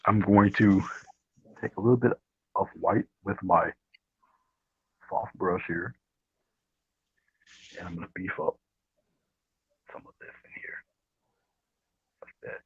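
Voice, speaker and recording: A man with a low, husky voice giving a casual painting demonstration, speaking softly and often trailing off into a murmur. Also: slow pace, pauses often. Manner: soft, murmuring, trails off near whisper. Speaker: guest painting instructor. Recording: dull, roomy livestream audio.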